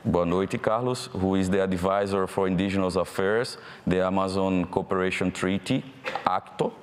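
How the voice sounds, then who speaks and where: A man from Brazil with a Brazilian Portuguese accent moderating a live panel, friendly and halting, with fillers. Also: low voice, fairly even intonation, slight background noise. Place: event hall. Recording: hall reverb from a live event.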